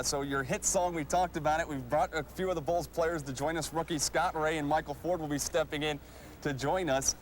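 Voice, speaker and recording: A man's voice: fairly high pitch, slight background noise. A man hosting a sports show, interviewing a player in a broadcast style.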